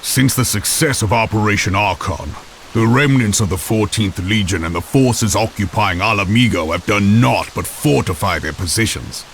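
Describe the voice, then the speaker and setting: A stern army commander in a fantasy game cutscene. His voice is on the low side and he reports in a blunt, soldierly way. A faint hiss sits under it. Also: moderate pace, fairly bright tone. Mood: grim, warning.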